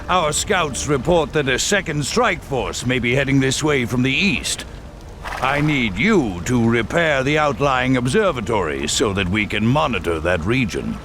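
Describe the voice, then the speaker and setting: Arrogant army commander from a fantasy game, slow scornful orders, music behind. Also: male voice, mid-range voice. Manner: imperious, contemptuous, clipped commands. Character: haughty, bigoted human military commander barking orders. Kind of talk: fantasy video game cutscene acting.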